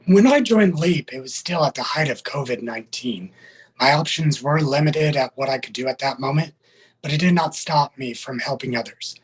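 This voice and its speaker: A man's voice: medium pitch, normal speed, breathy edge, poor audio. A man speaking as a military sergeant and interview guest, giving prepared answers in a formal, careful, earnest manner.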